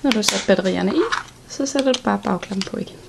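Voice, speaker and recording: A woman's voice: mid-range voice, vocal fry, very noisy. A woman giving an instructional product walkthrough in a plain, step-by-step way. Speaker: product demonstrator.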